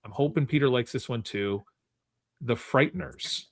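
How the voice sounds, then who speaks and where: A man's voice: medium pitch, normal speed, vocal fry. A man casually hosting a movie trivia game on a podcast, chatting and explaining to his guests. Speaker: podcast host.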